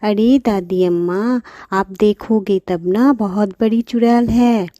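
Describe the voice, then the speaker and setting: A woman voicing a clever young girl in a children's cartoon, calling out and coaxing in drawn-out pleas. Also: medium pitch, mellow, no echo, clean studio sound, natural voice. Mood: coaxing, persuasive.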